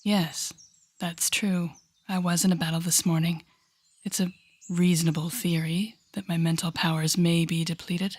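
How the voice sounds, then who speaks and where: Female villain played by an actress for audio roleplay: menacing telepath, breathy lowish voice, mocking, theatrical, taking deliberate pauses. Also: little pitch movement, darkish tone. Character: sardonic, menacing telepathic woman villain taunting a rookie hero. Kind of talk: audio roleplay acting addressed to the listener.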